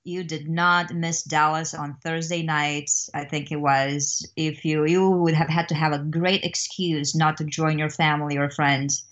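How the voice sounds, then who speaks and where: A woman's voice: low-pitched, little pitch movement, a little slow, few pauses, a bit bright, lo-fi sound. A middle-aged woman with a Romanian accent, a guest on an interview podcast, speaking thoughtfully with frequent fillers.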